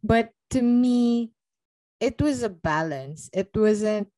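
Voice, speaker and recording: A woman's voice: fairly high pitch, animated, takes it slowly, dark tone. A young woman co-hosting a podcast, giving exasperated, opinionated takes in a casual book discussion. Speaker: podcast co-host.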